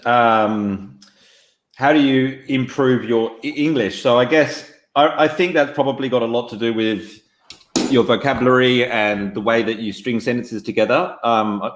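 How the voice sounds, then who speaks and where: A man hosting a casual live-streamed interview on a video call, relaxed and full of ums, calling his guest mate. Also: medium pitch, normal speed. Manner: relaxed, uses fillers like um, calls guest mate. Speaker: host. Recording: echoey room on a video call.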